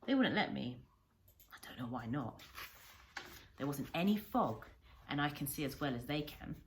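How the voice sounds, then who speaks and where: A woman reading a storybook aloud like a primary teacher, with deliberate, expressive phrasing. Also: low-pitched, slight background noise, low-quality recording.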